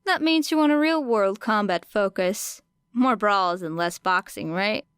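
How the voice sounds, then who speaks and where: A woman narrator plays a cocky young female street fighter in an audiobook. She talks bluntly and casually, like a teasing coach. The voice is clear and smooth, in a dry, close recording. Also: medium pitch, measured pace, a bit bright, no echo, close to an everyday voice. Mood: brisk, instructive. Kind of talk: audiobook character dialogue.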